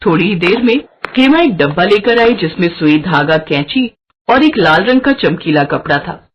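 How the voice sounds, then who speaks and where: A woman's voice: on the low side, animated, normal speed, slightly rough, highs cut off. A woman narrating a scripted moral story for a cartoon.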